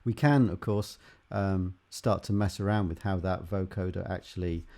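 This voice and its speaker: A man's voice: sits low, steady tone, normal speed, darkish tone. A man giving a casual tutorial as a music production instructor, with music behind him. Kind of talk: casual software tutorial narration. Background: guitar and vocal music track.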